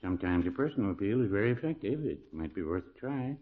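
A man acting a mild, fussy older small-town pharmacist in a 1950s radio comedy, dry, folksy and deadpan. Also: lowish voice, fast. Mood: deadpan advising. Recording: dull old radio recording.

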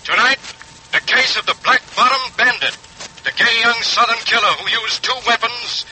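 Casting: male dramatic announcer, high urgent declaiming, very sharp tone, noisy 1940s radio. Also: normal speed. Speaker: program opening announcer.

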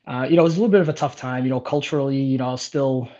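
A man's voice: mid-range voice, rapid-fire, dark tone. Casual man from Portugal, slightly dull audio. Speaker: interview guest, business owner. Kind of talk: casual chat, personal storytelling.

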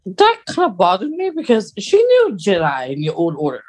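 A woman's voice: medium pitch, wildly swooping pitch, moderate pace, darkish tone. Woman chatting as a podcast co-host. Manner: conversational, hedging, adds asides and details. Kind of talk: casual, detailed fan discussion.

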